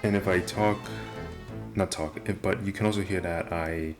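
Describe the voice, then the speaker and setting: A young man with a deep voice and nonstandard R sounds, talking casually and self-deprecatingly to the camera. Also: fairly even intonation, normal speed. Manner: rambling, self-deprecating, many restarts. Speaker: vlogger. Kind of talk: casual rambling monologue. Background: video game music playing.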